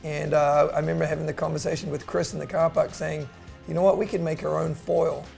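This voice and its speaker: A middle-aged man with a New Zealand accent, a company co-founder talking casually about his work and often pausing with "uh". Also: fairly high pitch, vocal fry, faint music behind. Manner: relaxed, with frequent "uh" pauses. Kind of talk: casual promotional chat.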